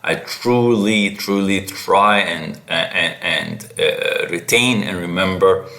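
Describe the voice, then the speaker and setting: A man whose first language is Arabic, hosting a casual solo podcast, haltingly searching for words and repeating them for emphasis. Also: low-pitched, bright, forceful tone. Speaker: podcast host.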